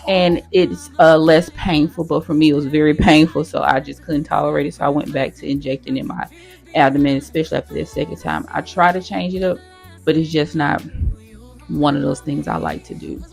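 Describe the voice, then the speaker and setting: A nurse chatting casually with her viewers in a how-to vlog, talking straight to them as y'all, her voice hoarse. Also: sits low, fairly even intonation, brisk, darkish tone, faint music behind. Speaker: nurse sharing her own experience.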